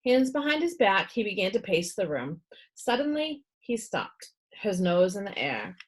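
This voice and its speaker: Husky, animated woman reading a storybook. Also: mid-range voice, measured pace. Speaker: storyteller reading a children's book aloud. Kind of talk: expressive read-aloud narration.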